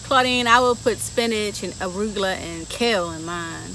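A woman's voice: mid-range voice, normal speed, sharp tone, rough recording. A woman reading a picture book aloud to children on a garden bench outdoors, playfully asking them questions between the rhymes. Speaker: children's story time reader. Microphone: camera a few feet away. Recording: outdoor recording with clear insect noise.

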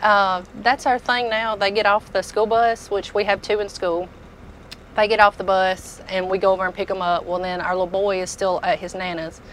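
A woman's voice: mid-range voice, level tone, moderate pace, fairly bright tone, slight background noise, rough recording. A woman, casually showing products as a tool dealer inside a packed truck. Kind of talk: casual product walk-through chat.

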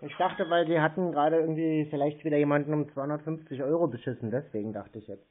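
A man's voice: on the high side, fairly even intonation, quick pace. Man on a noisy, thin phone line. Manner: dry, stubbornly questioning. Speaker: prank callee stringing along scammers. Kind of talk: casual phone conversation. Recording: noisy narrow telephone line.

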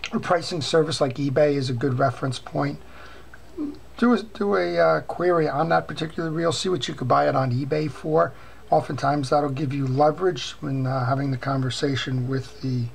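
An older man hosting a fishing reel repair channel, talking casually while showing reels on his workbench, with many "uh" pauses. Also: fairly high pitch. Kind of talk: casual show-and-tell. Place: workbench at home. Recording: clear background noise.

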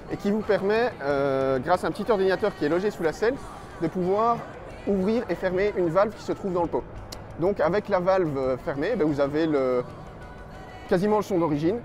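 Guy doing chatty event commentary, talks right to viewer, voice a bit high, music behind. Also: darkish tone. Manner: chatty, addresses the viewer directly. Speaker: motorcycle magazine video presenter. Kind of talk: casual event report commentary.

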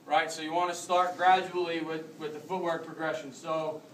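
American guy coaching a clinic, kinda high flat voice, echoey hall, faint room hum. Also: moderate pace. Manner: explanatory, demonstrating while moving. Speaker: rugby coach. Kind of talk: demonstration clinic. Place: large carpeted conference room with a crowd standing around. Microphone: phone camera from across the room. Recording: roomy echo, distant from the mic.

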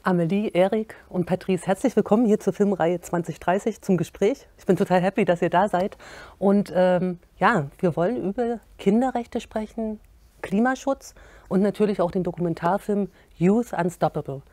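A woman's voice: mid-range voice, moderate pace, mellow, no room sound. A middle-aged woman moderating a panel talk in a studio, welcoming her guests with enthusiasm and asking them questions. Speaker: host. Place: indoor studio set with curtains.

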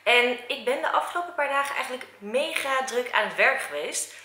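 A woman's voice: high-pitched, animated, fairly quick, sharp tone, slightly rough. A woman, chatting casually to a handheld camera in an upbeat, enthusiastic way. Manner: upbeat and enthusiastic, gushing superlatives. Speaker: travel and lifestyle vlogger. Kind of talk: casual vlog chat to camera. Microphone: camera held at arm's length.

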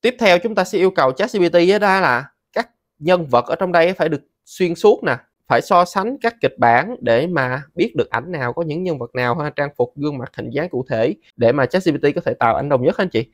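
Tutorial host: man, thirties, Southern Vietnamese accent, slightly high voice, dry close sound, conversational step-by-step delivery. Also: animated, brisk, no echo. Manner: conversational, frequent sentence-ending particles addressing viewers. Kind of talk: casual step-by-step screen tutorial.

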